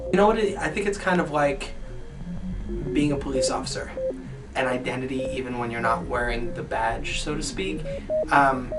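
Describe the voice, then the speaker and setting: A man in his twenties from New York, earnestly answering interview questions and repeating phrases as he thinks. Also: mid-range voice. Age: 20s. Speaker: resident assistant. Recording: distant mic, loud music underneath.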